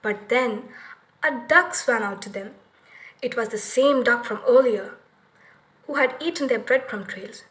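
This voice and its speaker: A woman narrating a children's story expressively, with dramatic, deliberate pauses. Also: a little high, fairly bright tone.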